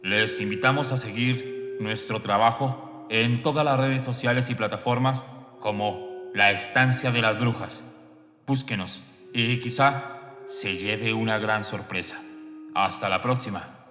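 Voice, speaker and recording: A man's voice: lowish voice, fairly even intonation, measured pace. A man presenting a radio fiction show with a theatrical, scripted horror-show delivery. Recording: echoey hall reverb, prominent music. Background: music.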